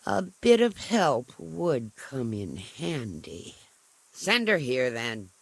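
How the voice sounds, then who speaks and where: Surly old peasant, slow and grudging. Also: male voice, mid-range voice, wildly swooping pitch, gravelly, husky, dry recording, heavily acted. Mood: grudging, threatening. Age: older. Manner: curt, hostile, grumbling. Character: gruff, surly old peasant parent-in-law, grudging and foul-mouthed. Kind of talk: video game cutscene acting.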